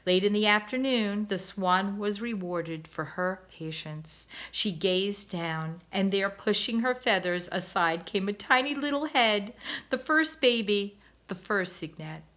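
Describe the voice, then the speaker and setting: A woman, a teacher, reading a children's novel aloud in an expressive, gentle way. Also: medium pitch, unhurried. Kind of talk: storybook read-aloud.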